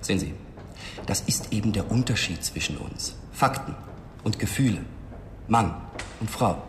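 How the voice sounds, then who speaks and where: Condescending police detective, low-key echoey radio drama. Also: male voice, animated. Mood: condescending, dismissive. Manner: condescending, deliberate. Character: condescending male police detective, sceptical and self-important. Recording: echoey, noisy room recording.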